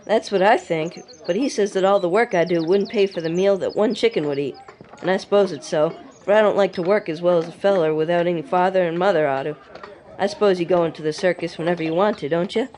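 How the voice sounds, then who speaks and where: A man's voice: sits high, quick pace, darkish tone, lightly acted. An actor playing an earnest, naive orphan country boy in an audio drama, talking plainly with rustic grammar. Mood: wistful. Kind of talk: audio drama acting and first-person narration.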